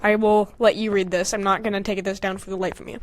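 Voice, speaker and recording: A young woman hosting a podcast, casually reading aloud and mocking her own writing in an animated, sweary, giggly way. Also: medium pitch, quick pace, slight background noise. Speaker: podcast host. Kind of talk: casual comedic commentary while reading own old fanfiction aloud.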